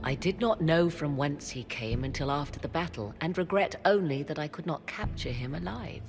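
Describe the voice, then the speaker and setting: An actress playing a mysterious female witch advisor at court, speaking in an arch, archaic, measured way. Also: low voice, lively intonation. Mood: cool. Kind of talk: video game dialogue. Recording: game audio with music underneath.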